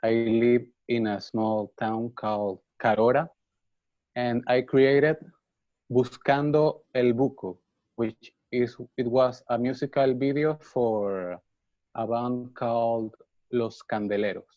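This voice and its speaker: A man from Venezuela, an animator who introduces himself slowly and deliberately over a video call. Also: medium pitch, steady tone, no echo. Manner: slow and deliberate. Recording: dry, muffled call audio.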